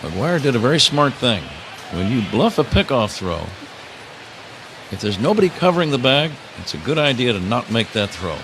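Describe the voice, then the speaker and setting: An older man works as a baseball play-by-play announcer on live radio. His intonation swings widely between calls and conversational asides. There is clear ambient noise behind him in the booth. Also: mid-range voice, very animated, normal speed. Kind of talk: live radio sports commentary with casual banter. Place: stadium broadcast booth.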